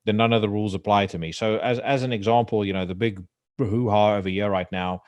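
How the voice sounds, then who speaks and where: A man's voice: lowish voice, fairly even intonation, fast, no room sound, dull audio. A man hosting a podcast, talking bluntly and profanely with many restarts. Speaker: podcast host. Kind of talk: casual chat.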